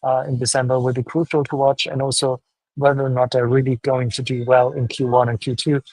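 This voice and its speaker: A man giving live commentary on a company's earnings call from an airport, talking in a measured, hesitant way with many fillers. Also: medium pitch, little pitch movement, darkish tone, no room sound, rough recording.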